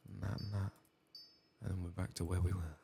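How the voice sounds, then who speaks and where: A man doing soft-spoken, whispered ASMR game commentary in a hushed, breathy, gravelly voice, murmuring without hurry. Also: low-pitched, flat delivery, fairly quick, noisy background. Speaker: ASMR game playthrough host.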